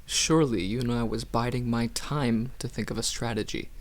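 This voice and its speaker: A man's voice: medium pitch, fairly even intonation, slight background noise, lightly acted. A man acting a smug, needling god who probes and teases his brother in a radio play. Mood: smug, teasing. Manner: unhurried, smug, probing. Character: Zeus, smug, needling male god, teasing older brother.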